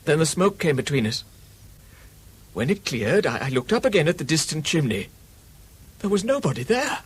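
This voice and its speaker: An actor playing a solemn man in a radio drama, recounting a strange sight earnestly and deliberately. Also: a little high, very animated, slightly rough, exaggerated voice. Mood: grave, suspenseful. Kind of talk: dramatic radio play monologue.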